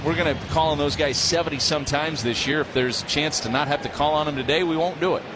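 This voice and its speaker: A man's voice: a little high, fast, noisy background. A man doing live radio play-by-play commentary as a baseball announcer.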